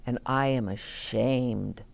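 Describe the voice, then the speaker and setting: A woman reading picture books aloud to children as a storytime host, in a deliberate, explaining way. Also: extremely low pitch, vocal fry. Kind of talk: expressive read-aloud for children with asides to listeners.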